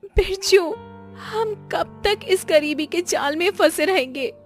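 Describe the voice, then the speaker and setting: A woman's voice: extremely high pitch, slow pace, faint music behind, voice pushed up. A woman voicing an anxious, pleading young village wife and mother in a cartoon story. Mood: worried.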